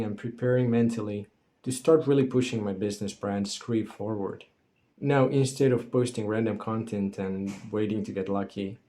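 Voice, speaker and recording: A man's voice: fairly low pitch, warm tone. A man, earnestly narrating a scripted motivational story as a solopreneur creator. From Finland.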